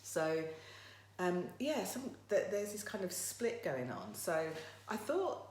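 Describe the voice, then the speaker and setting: A woman with a croaky voice hosting a casual live motivational talk, chatting directly to her viewers. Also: mid-range voice, sing-song, takes it slowly, a little mellow. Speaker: motivational livestream host. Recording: roomy echo, faint background noise.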